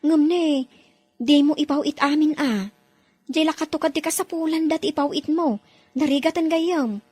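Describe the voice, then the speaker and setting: A woman's voice: sits high, moderate pace, a little mellow, no room sound, soft background music, natural voice. A woman playing an earnest overseas maid. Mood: advising. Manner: earnest, pleading. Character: young Ilocana domestic worker abroad, earnest. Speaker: overseas worker in a drama.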